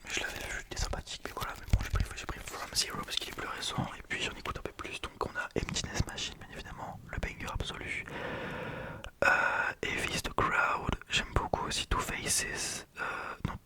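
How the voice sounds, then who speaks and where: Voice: brisk, pushed and bright. A young adult vlogger whispering a rambling vinyl haul late at night, tired and often correcting themselves.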